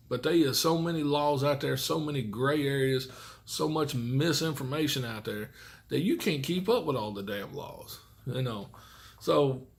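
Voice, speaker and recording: Middle-aged man, gravelly fry in the voice, casual rambling trucker talk, folksy. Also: mid-range voice. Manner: folksy, rambling, mild swearing. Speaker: truck driver and vlogger. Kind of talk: casual chat to camera.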